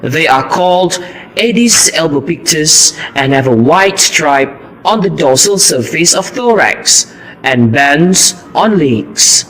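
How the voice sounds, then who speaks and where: A man playing an earnest, heroic mosquito destroyer in an amateur puppet show, with a deliberate, recited delivery. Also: a little high, lively intonation, fairly bright tone, full character voice, poor audio.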